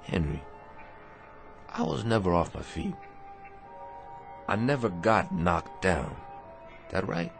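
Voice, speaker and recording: An actor playing a weary, embittered middle-aged boxer in a radio drama, speaking in emotional, halting working-class slang. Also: male voice, deep voice, very animated, gravelly, heavily acted. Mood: shaken, insistent. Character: battered, weary, embittered aging boxer, desperate and intense. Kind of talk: dramatic radio play acting. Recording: radio drama with music underneath.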